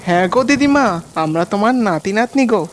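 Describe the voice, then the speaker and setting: A woman's voice: medium pitch, expressive, moderate pace, noisy background, lightly acted. Woman voicing an eager, pestering little boy. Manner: insistent, pleading repetition. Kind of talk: animated cartoon voice acting.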